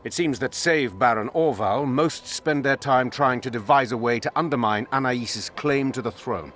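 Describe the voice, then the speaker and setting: An actor playing a stern, dignified royal constable in a fantasy game, speaking formally and with authority. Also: male voice, mid-range voice, moderate pace, a bit bright, close to an everyday voice. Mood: measured. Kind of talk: video game dialogue acting.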